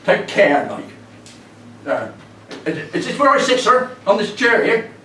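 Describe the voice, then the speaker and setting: An actor playing a cheery, deferential homeless wanderer of about seventy in a stage monologue, with a rural Hampshire accent and a halting, rambling way of talking. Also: male voice, high-pitched, very animated, bright, forceful tone, exaggerated voice. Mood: humble, eager. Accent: rural southern English, Hampshire. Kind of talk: theatrical dramatic monologue. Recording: echoey room, distant mic, faint noise.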